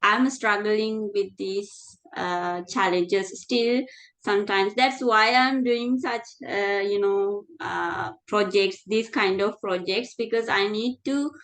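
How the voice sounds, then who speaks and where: A woman hosts a relaxed interview, speaking slowly and with a middle-pitched voice. Her talk is friendly and slightly halting, with many fillers and small restarts. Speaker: interview host. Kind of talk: casual conversation.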